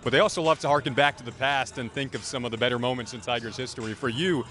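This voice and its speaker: Fast-talking American male sports interviewer. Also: mid-range voice, steady tone, pushed and bright. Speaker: sports show host, interviewer. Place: outdoors in a large open baseball stadium. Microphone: handheld microphone.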